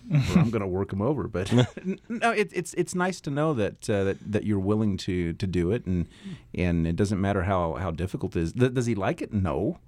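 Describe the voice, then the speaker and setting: A middle-aged man co-hosting a casual talk show, speaking haltingly and repeating words. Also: on the low side, expressive, a little mellow, no room sound. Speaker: show co-host.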